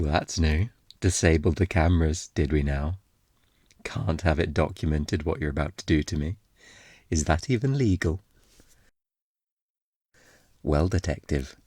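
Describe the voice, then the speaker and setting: A man acting a deep-voiced, smugly flirty thief. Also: a little mellow, no echo, clean studio sound, full character voice. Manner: playful, theatrical, drawn-out teasing with breathy murmurs. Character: obnoxiously flirty, smug male thief, teasing and unrepentant. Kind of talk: intimate ASMR roleplay acting.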